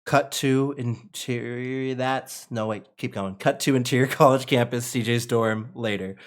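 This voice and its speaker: A man's voice: mid-range voice, fairly even intonation, unhurried. A man narrating stage directions at a table read in an informal, conversational way. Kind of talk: reading screenplay stage directions aloud, with casual asides.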